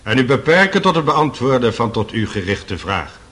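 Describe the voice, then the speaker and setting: An actor playing a stern, fussy presiding judge in a radio courtroom drama, pompous and formal. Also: male voice, medium pitch, very animated, normal speed, a bit bright.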